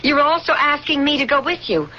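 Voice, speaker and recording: A woman's voice: high-pitched, lively intonation, fairly quick, bright, forceful tone, slight background noise. An actress playing a cool, self-serving woman, a pilot's lover, in a 1970s radio drama, guarded in tone. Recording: dull lo-fi old radio recording.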